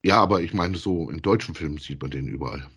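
A middle-aged man co-hosting a casual review podcast, speaking in a halting, hesitant way. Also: very deep voice, a bit bright, husky. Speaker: podcast co-host. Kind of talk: casual review chat about audio dramas.

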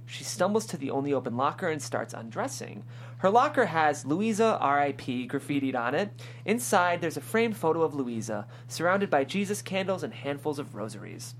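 A woman's voice: deep voice, big pitch swings, moderate pace, slight background noise. A woman narrator reading screenplay stage directions at a table read.

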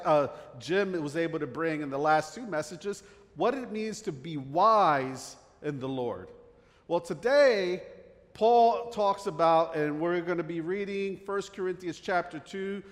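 Middle-aged North Jersey pastor, a bit higher voice, relaxed and chatty, long church-hall reverb. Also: male voice. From northern New Jersey. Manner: conversational with fillers and restarts. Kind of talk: sermon.

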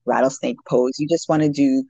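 A woman's voice: extremely low pitch, steady tone, brisk, warm tone, slightly rough, dry recording, dull audio. A woman in her forties, a nurse, chatting casually and giving practical advice, laughing easily. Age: 40s. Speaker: nurse and guest. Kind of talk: casual podcast chat.

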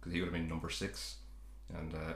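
A man's voice: very deep voice, monotone, fairly quick, echoey room. A man hosting a podcast, chatting casually about a sitcom in a rambling, self-correcting way. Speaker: podcast host.

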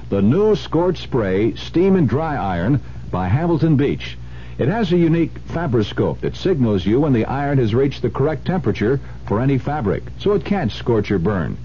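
A man's voice: mid-range voice, warm tone. An American man working as a spokesman, reading a scripted radio advertisement. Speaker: commercial spokesman. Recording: dull, noisy old radio recording with hum.

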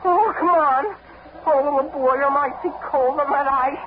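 An actor playing an excitable, whiny teenage boy in a 1940s radio comedy, exclaiming plaintively. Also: high-pitched, normal speed, natural voice. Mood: excited, shivering. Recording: noisy, tinny 1940s radio recording.

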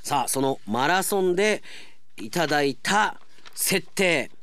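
Male radio announcer, chatty mail-reading style, very animated pitch, close dry sound. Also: takes it slowly, clean tone, no room sound.

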